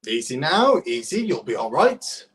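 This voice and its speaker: A young man playing a smooth, placating police detective in a 1930s-style radio play. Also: medium pitch, big pitch swings, a little slow. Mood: soothing. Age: young adult.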